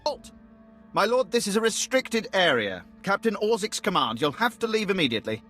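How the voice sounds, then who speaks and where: High-voiced, rigid guard soldier. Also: male voice, very animated, normal speed, bright, forceful tone, faint music behind, exaggerated voice. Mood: tense, stern. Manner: curt, military. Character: rigid, nervous male imperial guard soldier.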